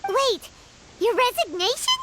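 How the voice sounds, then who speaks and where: A woman's voice: very high voice, big pitch swings, a little slow, heavy background noise, full character voice. A woman voicing a small, chirpy, curious fairy girl companion in a video game, bubbly and childlike. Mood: puzzled. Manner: refers to self in third person, bubbly. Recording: music behind the voice.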